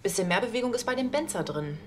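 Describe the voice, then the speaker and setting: An actress playing a brisk, factual female police officer reporting findings in a TV crime comedy. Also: a little high, expressive, natural voice. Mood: businesslike.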